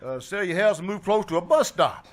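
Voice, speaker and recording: A man's voice: high-pitched, lively intonation, a little fast. A man acting as a cocky, bossy bungler in an old-time radio comedy, with casual, slangy delivery. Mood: dry. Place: small-town theater stage, live performance. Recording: noisy live theater stage recording.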